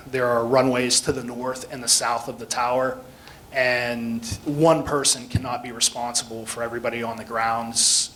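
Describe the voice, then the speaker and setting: A man's voice: mid-range voice, fairly bright tone, slight background noise, poor audio. An American man speaking as a guest instructor, explaining things plainly in an informal talk with questions from listeners. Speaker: guest speaker, air traffic control instructor.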